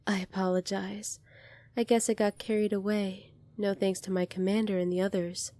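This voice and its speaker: A woman playing a gentle, earnest young alien princess in an ASMR roleplay, speaking softly and politely to the listener. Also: mid-range voice, flat delivery, normal speed, dry recording, lightly acted. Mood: friendly, curious. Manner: soft-spoken, polite, earnest, apologetic.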